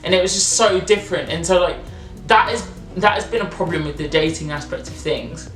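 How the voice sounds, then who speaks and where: A woman's voice: lowish voice, brisk, faint music behind. A young British woman in her twenties from England, chatting casually and animatedly with lots of fillers. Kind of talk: casual chat. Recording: roomy echo.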